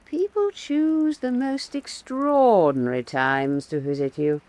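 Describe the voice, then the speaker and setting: A woman's voice: mid-range voice, takes it slowly, darkish tone, no echo, lightly acted. An actress playing a blunt, sardonic woman painter of Renaissance Venice in a radio drama, with terse, dry retorts. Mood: dry, impatient.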